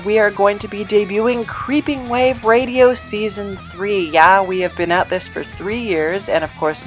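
A woman hosting a podcast in a casual, chatty, informal way, rambling a little. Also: lowish voice. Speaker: podcast host and creator.

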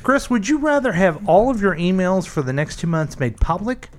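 Male radio host, slightly high voice, very animated, teasing, playful banter. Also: normal speed. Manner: teasing, asks would-you-rather questions.

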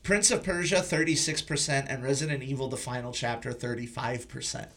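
A man's voice: medium pitch, steady tone, fairly quick, vocal fry, a bit bright. A man hosting a podcast, chatting casually and informally about films. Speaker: podcast host. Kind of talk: casual film discussion.

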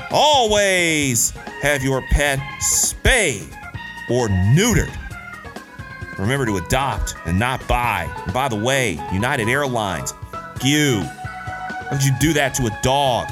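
A man's voice: medium pitch, sing-song, slow, music in the background. A man in his thirties from Detroit, hosting a solo podcast in a casual, candid way, with slang and cursing. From Detroit, USA. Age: 30s. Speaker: podcast host.

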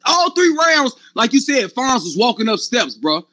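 Hyped, high-voiced man from the Midwest. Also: sing-song, normal speed, sharp tone, shouting. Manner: hyped, emphatic, repetitive. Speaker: battle rap commentator. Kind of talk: casual hype talk, slangy.

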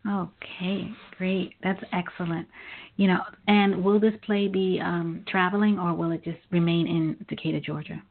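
A woman's voice: on the low side, flat delivery, normal speed. An American woman hosting an interview in a conversational style, affirming and acknowledging her guest. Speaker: radio interview host. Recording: narrow phone-like radio sound.

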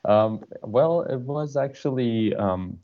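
A man's voice: mid-range voice, expressive, slow, narrow, tinny audio. A young man from Iran, a band's guitarist and producer, answering interview questions hesitantly with many fillers. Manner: hesitant, frequent fillers and restarts.

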